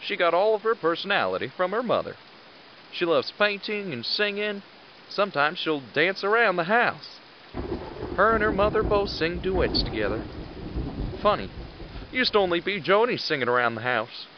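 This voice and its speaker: An actor playing a gentle, tired soldier father in an audio drama, speaking wistfully and haltingly as he longs for home. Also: sits high, very animated, highs cut off, background noise. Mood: fond, tired. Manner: wistful, halting, stammering delivery.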